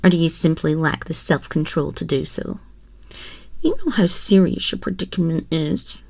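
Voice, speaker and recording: A woman's voice: deep voice, a little mellow, dry recording, heavily acted. A woman playing a haughty, condescending young empress in a scripted ASMR roleplay, teasing and imperious, speaking intimately into a close microphone. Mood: menacing. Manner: imperious, slow, theatrical, teasing drawl with breathy whispers. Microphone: close binaural microphone.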